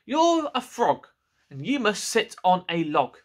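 A man's voice: high voice, very animated, voice pushed up, exaggerated voice. A man voicing a bossy, stubborn cat from a children's picture book, insistent and emphatic. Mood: bossy, impatient. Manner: emphatic, drawn-out, insistent delivery. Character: bossy, stubborn male cat laying down rules.

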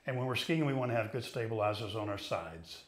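A middle-aged man coaching ski fitness, explaining each exercise calmly while he demonstrates it in a studio. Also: fairly low pitch, fairly quick. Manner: patient, explanatory, talks while moving.

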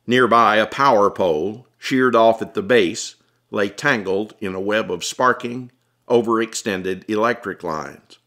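A man's voice: on the low side, measured pace, sharp tone. A man reading his own story aloud as scripted, dramatic audiobook narration.

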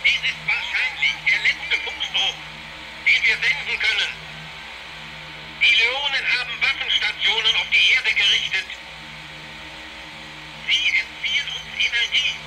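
An actor playing a desperate army colonel sending halting, strained distress messages over a crackling radio in an audio drama. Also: male voice, extremely high pitch. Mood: desperate, fading. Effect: crackling radio transmission. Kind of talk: 1980s German audio drama acting. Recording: noisy, crackling radio link.

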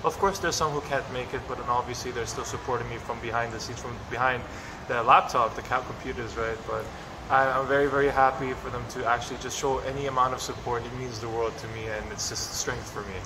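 A man's voice: mid-range voice, fairly even intonation, quick pace, background noise. A young man in his twenties from the Philippines with an American-influenced English accent, answering interview questions earnestly and slipping in Tagalog phrases. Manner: earnest, mixes in Tagalog phrases, frequent "you know". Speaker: pageant contestant.

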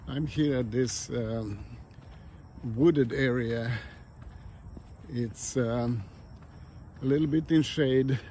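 A man on a park walk, describing what he films in a slow, halting way, with many fillers. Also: mid-range voice, mellow. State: panting in the heat. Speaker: nature vlogger. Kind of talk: casual walking commentary. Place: outdoors in a grassy park by a pond. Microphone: camera mic, speaker off screen. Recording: outdoor noise and wind.

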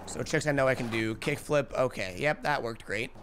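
A young man in his twenties streaming a skateboarding game, chatty and energetic, calling out his tricks, a bit hoarse. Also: mid-range voice, moderate pace, slight background noise. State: losing his voice. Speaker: gaming streamer. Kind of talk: live gameplay commentary. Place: home streaming room. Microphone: headset.

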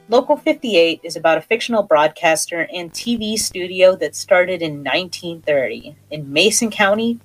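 A woman hosts a horror podcast in a playful, conversational style. Her voice sits a little low and moves in animated swings of pitch. Music plays faintly behind her. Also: poor audio. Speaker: podcast host.

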